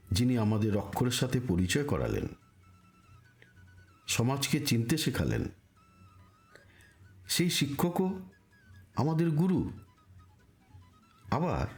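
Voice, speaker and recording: A man reads a devotional reflection slowly and solemnly. His pitch rises and falls widely between deliberate pauses. Music plays behind his voice. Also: darkish tone. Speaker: narrator of spiritual reflections. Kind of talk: scripted devotional narration.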